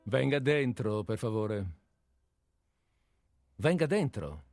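A man playing a composed, courteous householder facing a night intruder in a radio play, measured and dryly polite. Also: medium pitch, lively intonation, a little mellow, faint music behind. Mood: calm, dry.

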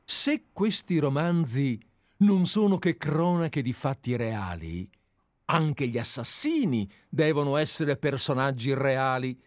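A man's voice: mid-range voice, lively intonation, takes it slowly, dry recording, studio quality. A man reading aloud as an obsessive, deferential admirer who has tracked a writer for years, in a dramatized radio play reading. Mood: reasoning. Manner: earnest, deferential, methodical. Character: obsessive, fawning male admirer-sleuth. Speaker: reader.

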